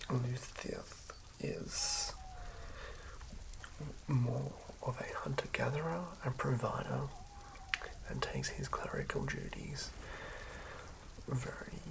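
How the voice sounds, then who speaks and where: Creaky, hushed ASMR whisperer. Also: mid-range voice, low-quality recording. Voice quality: breathy whisper with creaky fry. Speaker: ASMR creator. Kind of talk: whispered ASMR narration of a tabletop character build.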